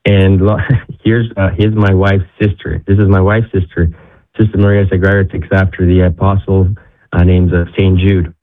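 A man's voice: low voice, flat delivery, normal speed. A young man from Ecuador, a guest telling his story in casual talk with many restarts. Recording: tinny phone-like line.

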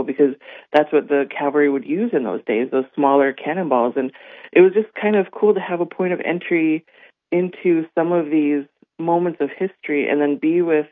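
A man with a slightly raised, somewhat hoarse voice tells stories in a radio interview, leaning on fillers and checking in with "right?". The sound is dry and thin, like a phone line. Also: a little high, dry recording. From North Dakota. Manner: warm storytelling with many fillers and "right?". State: voice a little hoarse. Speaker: guest. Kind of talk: radio interview, casual talk.

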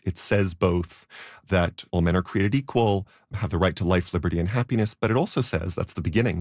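A man being interviewed as a historian, explaining at a measured pace. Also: low voice, darkish tone, dry recording, studio quality. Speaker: historian guest. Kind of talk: radio interview answers.

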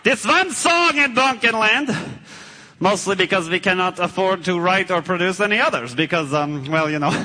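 An actor playing a big hairy villain rat in a live children's stage show, husky, theatrical and playing to the audience. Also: male voice, high voice, animated, normal speed, bright, forceful tone, exaggerated voice. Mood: scheming. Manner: blustering, theatrical, plays to the audience. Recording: echoey hall.

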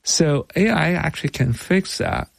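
Gravelly middle-aged man with a Chinese accent. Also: a little high, lively intonation, a little slow, no echo. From Beijing, China. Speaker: tech company founder being interviewed. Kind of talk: explanatory interview talk.

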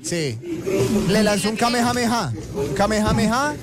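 A man with a Colombian accent hosting a comic radio show, bantering in a teasing, excitable way. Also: high-pitched, normal speed, over a noisy crowd. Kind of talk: comic banter, reacting to videos. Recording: loud music under the talk. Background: music.

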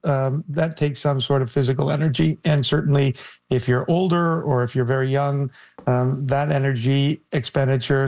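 A man speaks as an expert guest. His voice is mid-pitched with plenty of creak, and he lays out his points in a measured, step-by-step way. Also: darkish tone. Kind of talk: explanatory interview answer.

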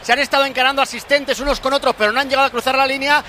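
A man reporting urgently from pitchside during a football broadcast. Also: steady tone, racing, sharp tone, a little hiss or hum.

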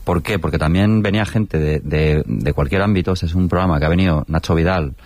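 A man's voice: deep voice, quick pace, darkish tone, dry recording. A man who writes for a comedy show, chatting informally in an interview and checking for agreement as he goes. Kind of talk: casual interview chat.

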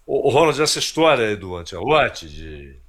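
A man with a Brazilian accent giving casual, anecdotal sports commentary in a halting, conversational way. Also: on the low side, big pitch swings, a bit bright, breathy edge.

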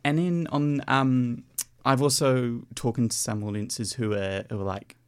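A young man with a New Zealand accent, a percussion student talking as a guest in a radio interview, hesitant with many pauses and restarts. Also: mid-range voice, steady tone, clear, resonant tone.

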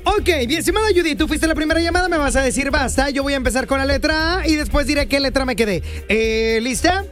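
A high-pitched man runs a comic radio show, teasing and joking with callers. Music plays clearly behind him. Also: fast, a bit bright. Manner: teasing, joking, laughs often. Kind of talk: comic morning radio banter.

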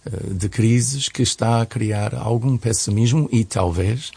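Gravelly, low-voiced man. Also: unhurried, dark tone. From United States. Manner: hesitant, searching for words. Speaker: guest commentator on a radio programme. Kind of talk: conversational analysis in a radio discussion.

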